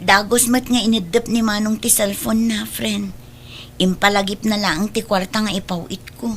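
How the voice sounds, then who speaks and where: A woman's voice: medium pitch, steady tone, normal speed, fairly bright tone, slight background noise, close to an everyday voice. A woman playing a dutiful, self-sacrificing younger sister in an audio drama, speaking earnestly with an Ilocano accent. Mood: wistful.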